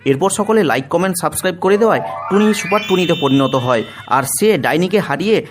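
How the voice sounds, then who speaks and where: Guy narrating a kids cartoon story, kinda high voice, music playing behind him. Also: animated, fast talker. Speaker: narrator of children's cartoon tales. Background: background music.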